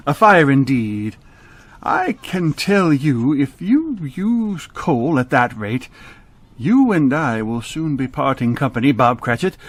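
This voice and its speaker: An actor playing a grumpy, miserly old Victorian business owner in a radio drama, curt, scolding and scornful. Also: male voice, medium pitch, full character voice.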